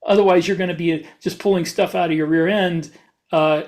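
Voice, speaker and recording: High-pitched man in casual podcast talk. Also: fairly even intonation, brisk, a little mellow. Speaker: podcast co-host. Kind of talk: casual panel discussion.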